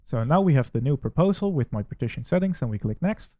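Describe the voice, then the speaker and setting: A man's voice: mid-range voice, lively intonation, fast talker, no echo, muffled recording. Dutch-accented man, methodical and critical. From Netherlands. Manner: critical, methodical, plainly spoken. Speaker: tech reviewer. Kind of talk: screen-recorded software walkthrough.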